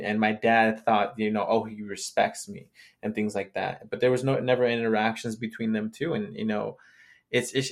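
A man co-hosting a casual podcast chat, agreeing often and restarting his sentences with repeated words. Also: on the low side. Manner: agreeable, halting, repeats words when starting. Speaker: podcast co-host.

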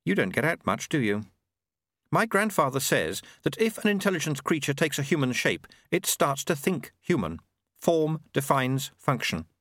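A man voicing a brisk, knowing, sardonic woman in an audiobook, with a dry, sarcastic manner. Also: a little high, big pitch swings, a bit bright, dry recording, voice pushed up. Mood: glum, dry. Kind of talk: audiobook character acting.

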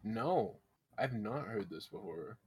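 Husky guy on a podcast, laughs at himself a lot, casual chat. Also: fairly low pitch, animated, takes it slowly, darkish tone. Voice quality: husky morning voice. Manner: giggly, self-deprecating. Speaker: podcast guest.

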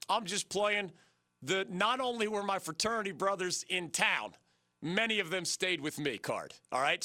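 An American man hosting a sports radio show, brisk and enthusiastic, rattling off scores and names with a slightly gravelly, scratchy voice. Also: a little high, sharp tone, dry recording. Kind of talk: sports talk radio monologue. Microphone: studio microphone.

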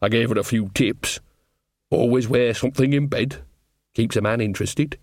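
A man's voice: mid-range voice, normal speed, darkish tone, dry recording, clean studio sound, close to an everyday voice. A man voicing a sly, earthy old witch woman with chatty, gossipy, rustic speech.

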